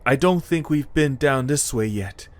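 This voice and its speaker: Man plays young male adventurer, pitched up, earnest encourager in an audio drama. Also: expressive, measured pace, natural voice. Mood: hesitant, worried. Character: young male adventurer trying to rally weary friends.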